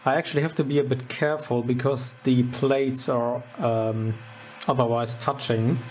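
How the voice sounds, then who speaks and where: A man giving a casual, unscripted electronics demonstration, halting and informal as he thinks aloud. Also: medium pitch, fairly even intonation, a little mellow, a little hiss or hum. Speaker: host of a DIY electronics channel. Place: indoors at a wooden workbench.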